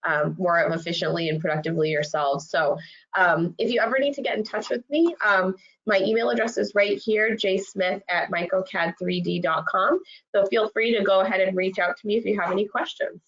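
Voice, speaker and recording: A woman with a slight cold and a congested voice, leading a software training webinar in a friendly way with lots of fillers. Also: medium pitch, steady tone, brisk. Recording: dull lo-fi web audio.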